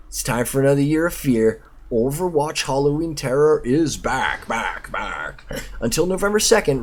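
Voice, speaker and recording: A man's voice: medium pitch, slightly rough. A man hosting a channel, reading aloud and tossing in casual asides in a playful, theatrical way. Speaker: channel host.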